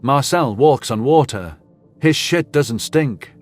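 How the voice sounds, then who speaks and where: A wisecracking soldier played by a man speaks in a mid-pitched male voice. He ribs people with breezy sarcasm, in a science fiction audio drama with faint music behind. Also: lively intonation, slow. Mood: sarcastic. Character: mocking, jokey young human male soldier.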